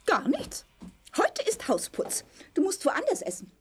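Fretful cartoon sweetheart, very high-pitched, fluttery, whiny, hugely animated, for comic audio drama. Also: female voice, unhurried, a little hiss or hum, heavily acted. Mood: brisk, annoyed. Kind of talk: German children's comedy audio play.

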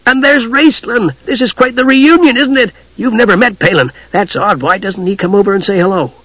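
A man's voice: high voice, a little fast, fairly bright tone, dry recording, highs cut off, pitched above the performer's own voice. A man voicing a small, naive, chatty kender adventurer who rambles eagerly, in a fantasy story reading.

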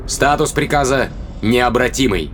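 An actor playing a defiant, quick-thinking spaceship captain in a science fiction audio drama, terse, commanding and rough-tongued. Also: male voice, mid-range voice, lively intonation, fairly bright tone, slight background noise. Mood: confident, commanding.

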